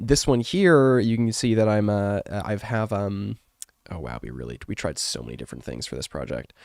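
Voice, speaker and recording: A man with a fairly low voice, made rough and congested by a cold he is getting over. He talks to his stream in a loose, rambling way, full of fillers. The sound is dry and close, with no room around it. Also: darkish tone, no room sound. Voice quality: rough, wrecked voice. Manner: rambling and chatty, with many fillers. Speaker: artist and streamer. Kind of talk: casual live-stream chat.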